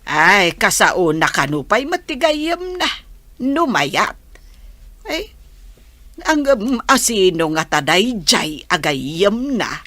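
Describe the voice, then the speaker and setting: A woman playing an anxious, scheming, pleading mother in an audio drama, with drawn-out, plaintive delivery. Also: mid-range voice, very animated, bright, forceful tone, no echo, full character voice. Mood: fretful. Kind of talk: Filipino audio drama acting.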